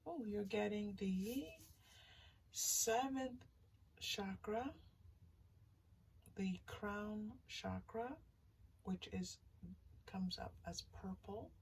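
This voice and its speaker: A woman hosting a casual tarot reading, speaking to listeners directly in a soothing, reassuring way and haltingly thinking aloud. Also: mid-range voice. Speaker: tarot reader and channel host. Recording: distant mic, faint background noise.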